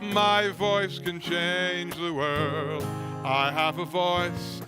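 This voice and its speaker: A man with a somewhat high voice leads a worship service in a synagogue sanctuary. He sings slow repeated lines and talks casually to the congregation. A guitar plays clearly behind him. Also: level tone. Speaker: service leader. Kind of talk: singing and leading a worship service. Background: guitar music.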